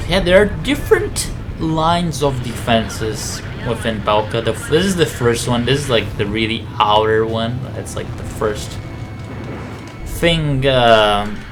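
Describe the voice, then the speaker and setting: A man's voice: medium pitch, animated, unhurried. A man giving casual, rambling, explanatory gameplay commentary on a video game. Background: game music and aircraft sounds.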